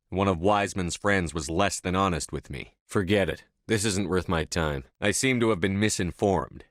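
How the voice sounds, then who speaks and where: A man's voice: deep voice, dry recording, studio quality, natural voice. A male actor voicing a stern, commanding military officer in a science fiction video game, speaking with firm, clipped authority. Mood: firm, assertive. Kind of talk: video game voice acting, dramatic dialogue lines.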